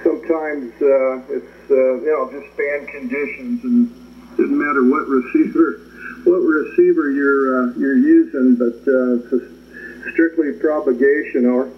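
A man's voice: mid-range voice, fairly even intonation, measured pace. A man chatting casually as an amateur radio operator, hesitant and rambling, heard through a shortwave radio transmission. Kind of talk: casual radio contact chat. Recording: muffled ham radio audio, faint static. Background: radio static.

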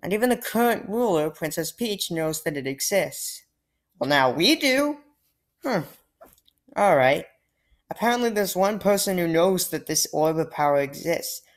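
A woman's voice: on the low side, animated, unhurried. A woman commentating on a game she is playing, in a casual, exasperated and sarcastic way, often sighing. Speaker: let's play gamer. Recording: faint game music behind.

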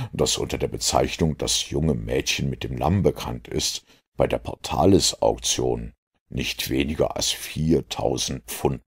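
A man voicing a composed master detective in an audiobook, lecturing in a measured, self-assured way. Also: rumbling low, creaky, slightly rough, heavily acted. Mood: didactic.

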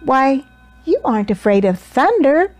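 A steady old country grandmother from a picture book, acted out by a woman reading aloud. She is folksy and gently coaxing, with a raised pitch and an unhurried, reassuring way of talking. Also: a little hiss or hum. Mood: proud, encouraging.

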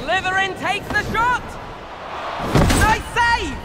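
A man voicing an excitable teenage boy who commentates on a fantasy broom sport in a video game. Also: very high-pitched, slow, heavy background noise, exaggerated voice. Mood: excited. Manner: excited, exclamatory, cheering on the play. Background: loud game music.

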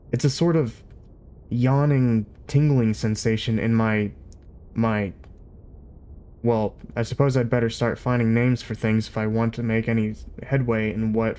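A man's voice: mid-range voice, dark tone, natural voice. A man voicing a bewildered newborn whale in a storybook reading, chattering naive questions to itself. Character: bewildered newborn whale falling through sky.

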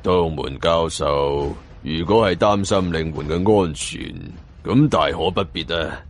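A man voicing a cunning, composed older villain in an audio story, speaking Cantonese-accented Chinese in a measured, commanding, coldly polite way. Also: very deep voice, faint music behind, voice pushed down.

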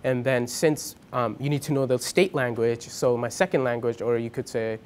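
Young male college student, Punjabi first language, seated indoor interview, halting and filler-heavy, mid-range voice. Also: mid-range voice, steady tone, clean tone. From India, Punjab. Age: young adult. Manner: hesitant, many ums and restarts. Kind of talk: casual interview answers. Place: dim indoor room with a lamp.

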